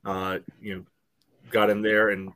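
Male co-host, lowish pitch, rambling and conversational, casual sports podcast. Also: completely flat delivery, unhurried, pushed and bright. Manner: loose, meandering, peppered with "you know".

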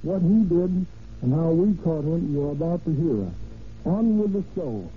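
Higher-pitched man on noisy old radio. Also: animated, unhurried, narrow, tinny audio. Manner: formal, read-aloud delivery. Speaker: deputy sheriff, guest speaker. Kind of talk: stiff scripted radio address.

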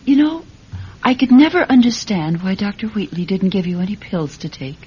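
Radio drama pious, solicitous wife, middle-aged; apologetic, fussing delivery, muffled lo-fi sound. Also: female voice, expressive, normal speed, dark tone, slightly rough. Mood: concerned, pious. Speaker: actor. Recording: dull lo-fi recording with low rumble.

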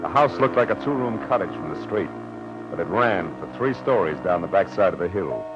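Tough American gumshoe: deep, dry, wry delivery, dull vintage radio sound with loud music. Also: male voice, animated, fairly quick. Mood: cool narration. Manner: laconic, wry, matter-of-fact. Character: hard-boiled male private eye, cool and unhurried. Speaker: narrator and lead detective. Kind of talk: 1940s radio detective drama acting.

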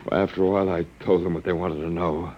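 An actor playing a weary, wry human survivor in a classic radio drama, halting and informal, with a gravelly edge. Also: male voice, deep voice, fairly even intonation, muffled recording, slight background noise, lightly acted. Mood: exhausted, defeated.

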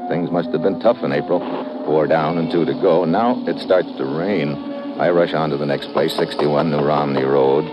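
An actor playing a brisk, wry investigator who questions people and narrates his case in an old radio detective drama. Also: a bass voice, loud music behind the voice. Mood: wry narration. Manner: clipped, wry, businesslike. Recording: dull, noisy vintage radio recording.

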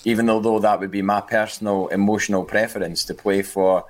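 A man's voice: lowish voice, little pitch movement, fairly quick. A man co-hosting a podcast, talking casually with frequent restarts and repeated words. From Scotland. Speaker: podcast co-host. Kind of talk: casual chat.

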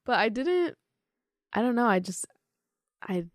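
A woman's voice: a little high, very animated, unhurried, dry recording, clean studio sound. A woman of twenty, co-hosting a podcast, chatting casually in a giggly, upbeat way. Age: 20s. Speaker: podcast co-host.

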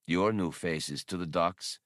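A man's voice: deep voice, slow, fairly bright tone, no room sound, studio quality. A man voicing a huge, battle-scarred old creature in a storybook, proud and defiant, speaking formally with a whistling voice. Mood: proud, offering. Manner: solemn, formal, declamatory.

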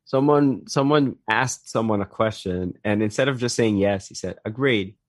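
A Filipino man co-hosting a casual chat in English and Tagalog, telling stories and acting out bits of dialogue, with halting restarts. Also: lowish voice, dry recording, clean studio sound. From Philippines. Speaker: podcast co-host.

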